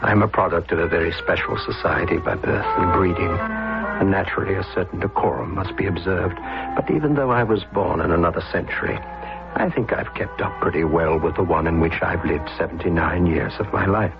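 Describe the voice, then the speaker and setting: An actor playing a prim, formal 79-year-old society gentleman in an old-time radio drama, with pompous, precise diction. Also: low-pitched, fairly quick, gravelly. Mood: decorous, proud. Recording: dull old radio broadcast, music behind.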